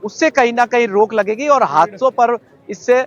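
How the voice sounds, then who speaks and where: A high-voiced man files a live news report over a dull, lo-fi link. He speaks as a correspondent, formal and unscripted, often repeating his phrases. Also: normal speed.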